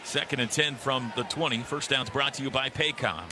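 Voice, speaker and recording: A man doing live radio play-by-play of a football game in clipped phrases that build into excited calls. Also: mid-range voice, a little fast. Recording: loud stadium noise behind the broadcast voice. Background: stadium crowd and ambience.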